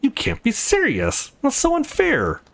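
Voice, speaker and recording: A man voicing a feisty, hot-tempered young athletic woman in a game, emphatic and indignant, in a high girlish tone. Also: huge pitch swings, unhurried, creaky, fairly bright tone, full character voice. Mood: outraged.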